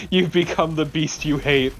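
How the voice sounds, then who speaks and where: A man's voice: on the high side, a little hiss or hum. A man co-commentating on a video game in casual, informal chat with a sweary streak.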